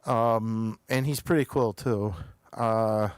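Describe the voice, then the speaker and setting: A man hosting a show, chatting casually through episode reviews in a halting way, pausing often mid-thought. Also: fairly low pitch, steady tone, clear, resonant tone, dry recording.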